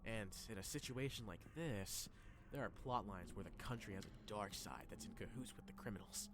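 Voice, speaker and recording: A man's voice: on the low side, moderate pace, noisy background, close to an everyday voice. A man playing a polite, jittery teenage boy in an anime-style audio drama dub, chatty and nervous. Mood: musing.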